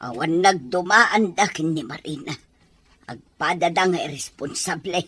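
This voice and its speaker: A woman playing an elderly, tender but sharp-tongued Filipino grandmother with an Ilocano accent and husky voice in an audio drama. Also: low voice, expressive, moderate pace, no echo, exaggerated voice. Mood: scornful, worried.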